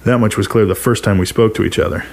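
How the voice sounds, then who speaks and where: A man narrates short fiction in a fairly low voice. He reads in the first person, as the storyteller himself, from a prepared script. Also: fairly even intonation, quick pace, darkish tone.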